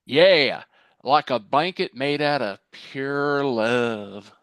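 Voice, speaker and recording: A man playing a blissed-out, earnest alien abductee in a comedy sketch read, awed, sentimental and halting. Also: medium pitch, animated, fairly bright tone, clean tone, no echo, full character voice. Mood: blissful.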